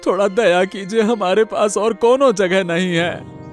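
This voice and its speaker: Man voicing a high-pitched, earnest poor village labourer. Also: a little slow, soft background music. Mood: desperate begging. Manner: stammering, pleading, repeats words. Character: poor honest village labourer, doting father, pleading. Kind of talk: Hindi moral-story cartoon voice acting.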